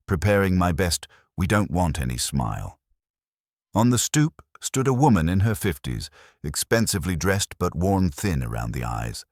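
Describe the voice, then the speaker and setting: A man narrating a first-person detective story in a wry, dramatic way, with a gravelly, husky voice. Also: a bass voice, no echo, clean studio sound.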